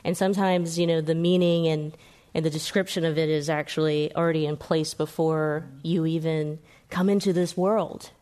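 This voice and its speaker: A woman moderating a panel in a solemn, measured way. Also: low-pitched, fairly even intonation, warm tone.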